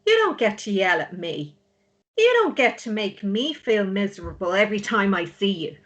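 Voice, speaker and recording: A woman's voice: medium pitch, big pitch swings, normal speed, highs cut off, natural voice. A woman playing a blunt, worried, exasperated sister of a grieving drunk in a drama table read. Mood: angry, exasperated. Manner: blunt, pleading.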